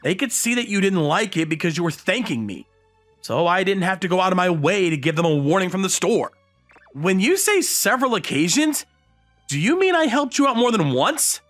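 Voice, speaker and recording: Man voicing bashful teenage boy, animated delivery. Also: high-pitched, moderate pace, faint music behind. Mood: explaining. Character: modest, bashful high school boy narrating his romance. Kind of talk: anime-style manga dub acting and narration.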